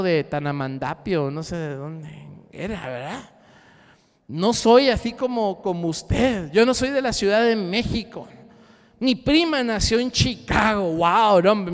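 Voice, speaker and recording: A man preaching a sermon as pastor, swinging between loud rising cries and hushed low asides. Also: clean tone, big-room sound. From Mexico. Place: church sanctuary.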